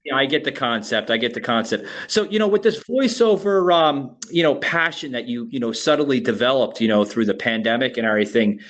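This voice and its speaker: A man from Florida, co-hosting a casual podcast chat. He talks in a halting way, restarting his sentences and leaning on "you know" again and again. His pitch sits in an ordinary middle range. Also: animated, a bit bright. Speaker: podcast co-host.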